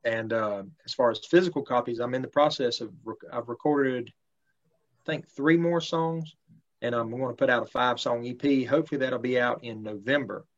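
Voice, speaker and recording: A man, a country singer talking casually in an interview in a relaxed, polite way with many fillers. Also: medium pitch, moderate pace. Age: 30s. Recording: slightly dull lo-fi sound.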